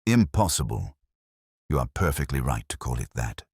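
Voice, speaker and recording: A man voicing a mild, shrewd police inspector in a detective story, speaking gently, deliberately and formally. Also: very deep voice, sing-song, gravelly, husky, no echo, studio quality, pitched below the performer's own voice, heavily acted. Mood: wry, thoughtful.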